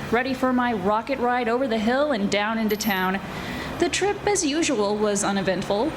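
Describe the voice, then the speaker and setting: A woman's voice: on the high side, noisy background, close to an everyday voice. A woman playing a wry, breezy female private eye who narrates in 1940s radio detective style. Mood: sardonic, unruffled. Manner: wry, breezy, flirtatious deadpan. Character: wisecracking glamorous female private eye, former model. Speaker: radio theatre actor. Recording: live stage recording with music.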